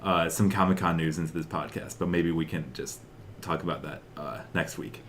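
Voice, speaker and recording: A man's voice: low-pitched, steady tone, moderate pace, fairly bright tone. A man co-hosting a podcast, chatting casually and enthusiastically about games and film news, with strong opinions. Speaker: podcast co-host.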